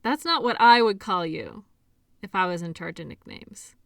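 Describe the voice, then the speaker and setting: A woman playing a caring, shy doctor in an intimate audio roleplay, talking softly and hesitantly in a conversational way. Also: on the low side, lively intonation, moderate pace, creaky, no echo, studio quality. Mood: sincere, admiring. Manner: soft, hesitant, giggly, conversational.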